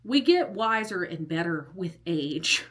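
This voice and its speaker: A woman's voice: lowish voice, sing-song, measured pace, a bit bright. A woman in her thirties telling a personal story in a casual, rambling way, full of "like" and the odd whispered aside. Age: 30s. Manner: chatty and rambling, heavy use of "like", with whispered asides. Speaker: storytime vlogger.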